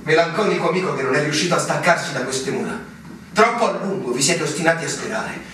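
Earnest young officer, solemn radio theatre delivery, recorded from a distance with faint hiss. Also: male voice, a little high, sharp tone. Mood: solemn farewell. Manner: solemn, literary, measured. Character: melancholy, earnest young male army lieutenant. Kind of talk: theatrical radio drama acting. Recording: very echoey stage, distant mic, hiss.

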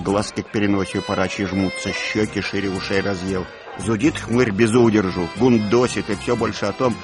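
A man narrating a literary audio play with expressive storytelling. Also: lowish voice.

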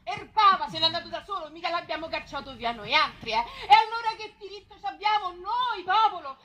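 High-voiced bewildered Roman commoner. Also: female voice, expressive, a little fast, bright, forceful tone, exaggerated voice. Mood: bewildered, protesting. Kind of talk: theatrical dialect dialogue.

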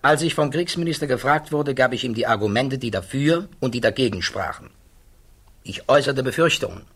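A husky male voice of middle pitch as an embattled scientist at a security hearing. He is thoughtful and troubled, weighing each guarded answer with precision, in the manner of 1960s German radio drama. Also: expressive, a little fast, a bit bright. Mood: guarded, measured.

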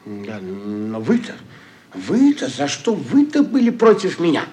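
An actor playing an aggrieved, hot-headed young suitor in a classic radio play, petulant and sarcastic in a theatrical way. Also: male voice, mid-range voice, huge pitch swings, a little slow, dark tone. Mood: wounded, indignant. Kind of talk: classic Russian literary radio play acting.

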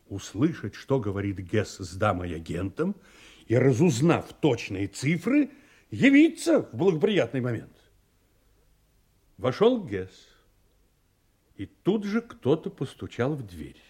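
Audio drama, male: guilt-ridden sailor making a confession, husky, slightly high, swinging widely in pitch. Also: a little mellow, exaggerated voice. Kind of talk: dramatic monologue in an audio play.

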